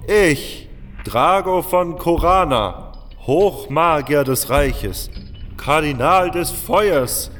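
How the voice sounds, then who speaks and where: A haughty, irritable fire mage of high rank, as a man puts him on, sneering in a homemade fantasy audio drama. The voice is pushed high and loud above his own, with a long hall reverb and faint music. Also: animated, drawn-out words, exaggerated voice. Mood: outraged. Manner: haughty, contemptuous.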